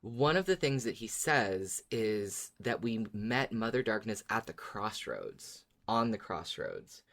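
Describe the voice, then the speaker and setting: Casting: podcast co-host of unspecified sex, medium pitch, casual fan chat, enthusiastic, repeats phrases while thinking aloud. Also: sing-song, slow pace, hardly any pauses, fairly bright tone. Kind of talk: casual podcast discussion of a fiction series.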